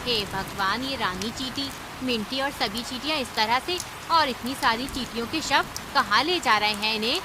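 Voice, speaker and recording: A woman's voice: fairly high pitch, moderate pace, few pauses, noisy background, natural voice. A woman voicing a kindly, sensible aunt bird in a cartoon story. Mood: shocked. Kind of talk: Hindi animated cartoon story acting.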